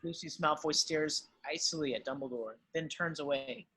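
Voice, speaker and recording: A man's voice: a little high, normal speed, bright, forceful tone, breathy edge, poor audio. A man reading screenplay stage directions as dramatic scripted narration.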